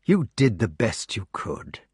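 A man voicing a kindly old king who speaks softly and soothingly. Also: on the low side, big pitch swings, a little slow, slightly rough, no echo, studio quality, heavily acted. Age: older. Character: old, gentle, fatherly male king.

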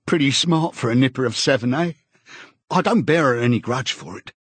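Pathetic eager prisoner in an audiobook, high husky voice, stammery, bootlicking, totally loyal. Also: male voice, brisk, fairly bright tone, no room sound. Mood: doleful pride. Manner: pleading, blubbering, stammering, sententious, old-chap British idiom. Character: pudgy servile man, naive, eager, pitifully loyal prisoner.

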